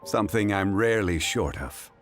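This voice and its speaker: A refined gentleman scholar from a video game. The voice sits low and moves with expressive, formal courtesy, every phrase measured and calm, over faint music. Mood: composed. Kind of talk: video game character acting.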